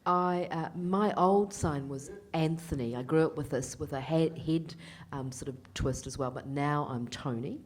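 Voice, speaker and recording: Low-voiced New woman interpreter. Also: a little slow, hardly any pauses, slight background noise. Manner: hesitant, with many fillers and restarts. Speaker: sign language interpreter voicing a Deaf male panellist. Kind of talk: live first-person interpreting on a panel.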